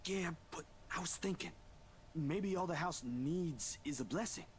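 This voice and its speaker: An actor voicing an easygoing young man who believes in ghosts, in a visual novel game, speaking in a gentle, laid-back and accommodating way. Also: mid-range voice, a little slow, background noise, natural voice.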